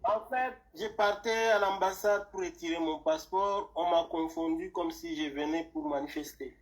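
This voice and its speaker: Man, from Cameroon, caller on a live stream, high voice, hesitant, defensive, stumbling. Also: steady tone, normal speed, rough recording. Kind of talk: live call-in conversation.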